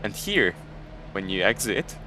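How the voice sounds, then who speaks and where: A man's voice: deep voice, sing-song, a little slow, sharp tone, a little hiss or hum, poor audio. Hungarian-accented man commentating a sim race. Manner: chatty, jokes and thanks chat viewers. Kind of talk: sim racing stream commentary.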